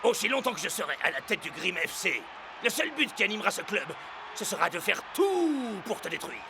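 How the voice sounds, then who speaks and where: An actor playing a bitter, theatrical club boss and villain bent on revenge in an animated cartoon. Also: male voice, high-pitched, a little fast, creaky, noisy background, full character voice. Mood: bitter, indignant. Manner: theatrical, gloating, outraged outbursts.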